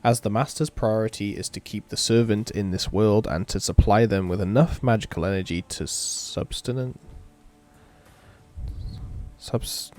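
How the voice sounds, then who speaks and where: Low-voiced gamer guy, tired. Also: measured pace, darkish tone, faint music behind. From England. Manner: informal, laid-back. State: sore throat, tired. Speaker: gaming video host. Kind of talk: casual let's-play commentary and reading aloud.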